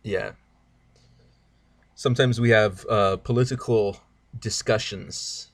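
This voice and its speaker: A man from Germany whose first language is not English, speaking in a low voice as he patiently lays out ideas with many pauses. The recording is dry and close. He sounds earnest and steady. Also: no room sound. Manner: earnest, explains at length with hesitations. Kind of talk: casual chat.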